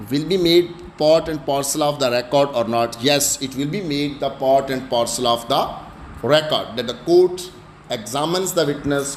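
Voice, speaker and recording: A man with a Pakistani accent gives an explanatory law lecture, emphatically repeating his key phrases. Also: medium pitch. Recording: echoey room with faint background noise.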